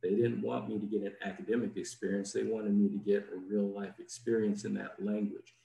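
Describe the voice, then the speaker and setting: Low-voiced man explaining in a roomy space. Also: level tone, vocal fry, mellow. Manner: plain, explanatory, measured. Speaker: diplomat in residence, guest. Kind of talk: informative answers in an interview. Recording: roomy echo.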